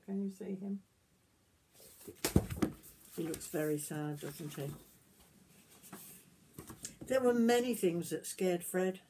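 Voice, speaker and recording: A woman in her sixties reads a children's story at a slow, unhurried pace in a slightly low voice. She pauses to put gentle questions straight to her young listeners. The recording is homemade in a small room, with clear background noise. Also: poor audio. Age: 60s. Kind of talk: picture-book read-aloud with asides to children. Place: small wood-panelled garden room, indoors.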